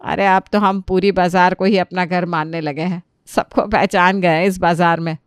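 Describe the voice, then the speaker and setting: A woman's voice: lowish voice, fairly even intonation, normal speed, smooth, dry recording, clean studio sound, lightly acted. A woman voicing a wry, helpful housewife and mother-in-law in a cartoon story, speaking expressively. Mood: warm, obliging. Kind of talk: Hindi cartoon story character voice.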